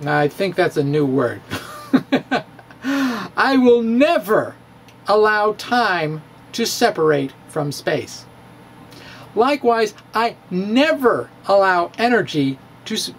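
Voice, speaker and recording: A man's voice: high-pitched, slight background noise. A middle-aged man giving a comic dramatic reading of a science blog, wry and playful, laughing at his own jokes, recorded indoors on a close handheld mic. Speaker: science blogger. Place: small room with bookshelves, indoors. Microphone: handheld mic on stand, close.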